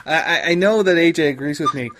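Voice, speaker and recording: A man's voice: fairly high pitch, animated, quick pace, a bit bright. A man hosting a podcast, chatting casually as he interviews his guests over some background noise. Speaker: podcast host.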